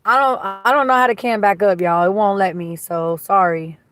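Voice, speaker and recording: A woman with a slightly raspy voice hosting a livestream, chatting casually and reading viewer comments in a blunt, gossipy way that is full of swearing. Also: mid-range voice, fairly even intonation, normal speed, a bit bright, slight background noise. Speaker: livestream host.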